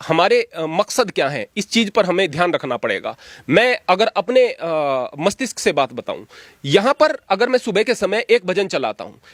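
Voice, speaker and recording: High-voiced preachy holy man. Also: no echo, studio quality. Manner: preachy, emphatic, plain-spoken. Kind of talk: earnest spoken argument.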